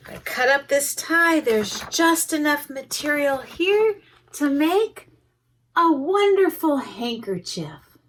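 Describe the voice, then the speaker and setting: A woman's voice: high voice, expressive, slow pace, a bit bright, pitched above the performer's own voice, full character voice. A woman voicing a thoughtful, resourceful old grandfather tailor in a children's storybook. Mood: pondering. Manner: musing.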